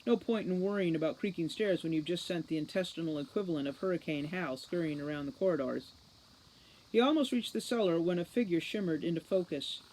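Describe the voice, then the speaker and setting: A woman's voice: fairly low pitch, a little fast, darkish tone, slight background noise, poor audio. A woman reading scripted storybook narration as an audiobook reader.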